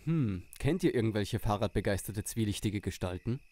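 A man's voice: on the low side, lively intonation, normal speed, warm tone, natural voice. A man playing a serious, suspicious young detective gang leader in an audio drama parody.

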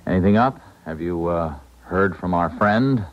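An actor playing a cautious, reasoning colleague and confidant in a 1970s radio drama. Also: male voice, low-pitched, measured pace. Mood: calm. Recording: dull 1970s radio recording, music behind.

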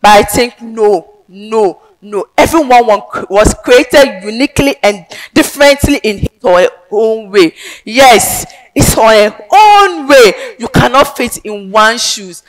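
A young woman with a Ghanaian accent performing a theatrical advocacy monologue on a large indoor stage, emphatic and declamatory with sudden loud swells. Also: a little high, lively intonation, a little slow, rough recording. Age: young adult. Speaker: pageant contestant.

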